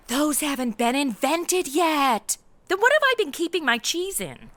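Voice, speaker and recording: A woman's voice: high voice, sing-song, measured pace, fairly bright tone, full character voice. A woman playing a brash, lazy, self-indulgent modern party girl with a sarcastic, whiny manner in a comedy audio drama. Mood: excited.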